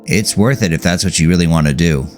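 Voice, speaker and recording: A man's voice: sits low, fast talker, faint music behind, close to an everyday voice. A man voicing an easygoing, supportive young boyfriend with a casual, laid-back manner in an audiobook. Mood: relaxed.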